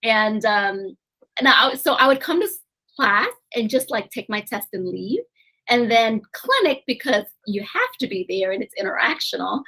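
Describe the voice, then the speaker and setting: A woman guest on a podcast, telling her life story in a chatty, animated way and laughing often. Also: on the high side, normal speed, rough recording. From grew up in New Orleans, USA. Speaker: podcast guest, eye doctor and real estate investor. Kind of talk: casual interview storytelling.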